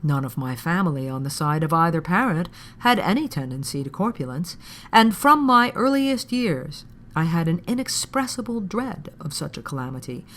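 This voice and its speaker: A woman reading an old formal text aloud in an audiobook style, with measured, deliberate phrasing. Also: low voice, expressive, fairly bright tone. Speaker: podcast host reading aloud.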